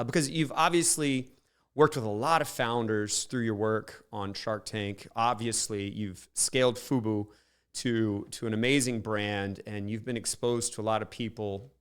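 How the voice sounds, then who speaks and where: A man's voice: medium pitch, clear, resonant tone. A man hosting a podcast who asks long, rambling questions full of fillers. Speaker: podcast host. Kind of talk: interview questions.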